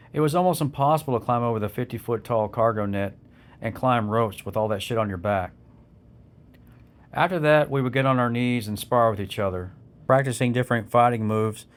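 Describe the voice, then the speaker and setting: A man reading a scripted first-person memoir of military training, in the style of an audiobook. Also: medium pitch. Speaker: memoir author narrating his own military training.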